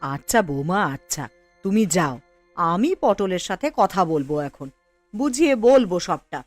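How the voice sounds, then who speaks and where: Woman acting as elderly cartoon mother-in-law, lowered pitch, coaxing, gently chiding, faint music behind. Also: big pitch swings, normal speed, no echo. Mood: placating. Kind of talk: animated cartoon story dialogue.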